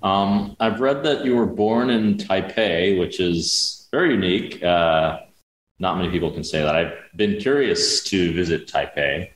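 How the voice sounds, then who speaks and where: A man hosting an interview, talking loosely and haltingly with fillers and restarts. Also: low voice, echoey room, poor audio. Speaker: podcast host. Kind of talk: casual interview conversation.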